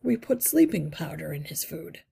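A woman reading aloud and voicing a brave, practical young male rat who explains things calmly. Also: deep voice, moderate pace, vocal fry, husky, exaggerated voice. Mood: explaining. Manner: calm, matter-of-fact explaining.

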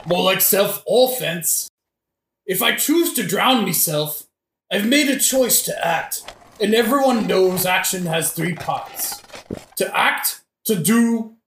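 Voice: medium pitch, fairly bright tone. A performer playing a drunken, cheeky gravedigger who philosophizes and argues with mock logic in a modern comic audio drama. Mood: mock-logical. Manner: argumentative, mock-logical, joking, sometimes singing slowly. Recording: roomy echo, faint noise.

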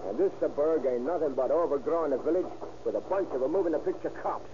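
Man voicing a gruff slangy gangster boss, fast talker, scratchy old radio recording with hiss. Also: fairly high pitch, muffled recording, lightly acted. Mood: boastful. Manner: gruff slangy tough-guy talk. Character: tough, bossy male gangster gang leader. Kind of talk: 1930s radio acting.

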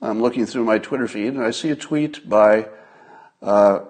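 A man hosting a solo livestream, giving casual political commentary in a conversational, emphatic manner with pauses for effect. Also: lowish voice, darkish tone.

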